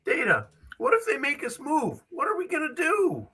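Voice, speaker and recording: An amateur reader playing an anxious, pleading young kid adventurer with a raspy voice in a script table read. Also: high voice, wildly swooping pitch, normal speed, pushed and bright, full character voice. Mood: worried.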